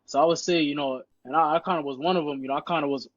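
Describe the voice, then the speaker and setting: A man's voice: a little high, rapid-fire, no echo. A young man from Queens, chatting casually as a podcast guest about his life and football, leaning on "you know" again and again. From Queens, New York. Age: young adult. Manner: relaxed, repeats "you know" constantly. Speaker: podcast guest, high school football player. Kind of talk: casual interview chat. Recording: dull, dry lo-fi sound.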